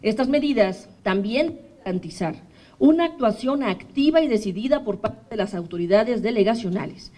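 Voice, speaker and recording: A woman in her thirties with a Mexican accent, reading a formal statement at a podium microphone for a human rights commission, measured and steady. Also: on the high side. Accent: Mexican Spanish. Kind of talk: formal statement read aloud. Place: indoor press room, at a podium.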